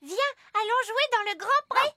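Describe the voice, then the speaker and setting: Super high little cartoon kid, bright n eager, fairy-tale kids show. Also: female voice, moderate pace, a little hiss or hum. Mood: cheerful. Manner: childlike, eager, exclamatory. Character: excitable little fairy and elf children. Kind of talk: French-dubbed children's cartoon voice acting.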